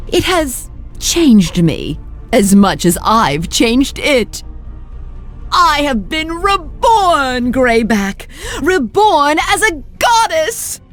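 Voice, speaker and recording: A woman's voice: sits high, sing-song, takes it slowly, soft background music, full character voice. A woman playing a mad, gloating sorceress tyrant who believes herself a goddess, in theatrical video game villain style. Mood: taunting.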